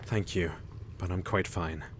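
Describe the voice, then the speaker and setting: An actor playing a guilt-ridden young doctor in an audio drama, with subdued, guilt-laden speech. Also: male voice, low voice, fairly even intonation, measured pace, a little hiss or hum.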